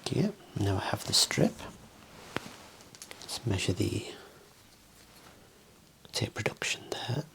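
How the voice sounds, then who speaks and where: A soft, breathy whisper in an ASMR medical role play, gently and politely explaining and instructing step by step as a clinician. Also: low voice, sing-song, slow, long pauses, low-quality recording. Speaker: ASMR performer playing a clinician.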